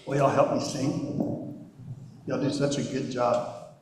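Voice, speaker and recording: A man's voice: mid-range voice, little pitch movement, slow, darkish tone, slight background noise. A man leading children in song, speaking casually in a folksy, hesitant way. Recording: very echoey church, music behind.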